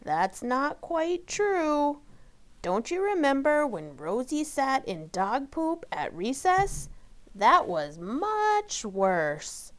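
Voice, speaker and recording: A woman voicing a sweet, kindly lady in a children's storybook, gentle and fondly correcting. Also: sits high, big pitch swings, drawn-out words, voice pushed up, full character voice. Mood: gently correcting. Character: sweet, kindly bespectacled woman, a memory helper.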